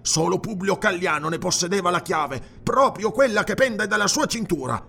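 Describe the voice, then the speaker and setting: A man voicing a jittery, blustering night watchman in a fantasy audiobook, with a strained, raspy, nervous voice. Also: high-pitched, moderate pace, a little hiss or hum, pitched above the performer's own voice, full character voice. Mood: agitated, explaining. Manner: nervous, excitable exclamations. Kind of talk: dramatized fantasy audiobook dialogue.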